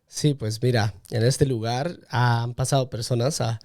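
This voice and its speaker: A man's voice: mid-range voice, steady tone, a little slow, a little mellow. A man hosting a podcast, interviewing a guest in a conversational style. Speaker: podcast host.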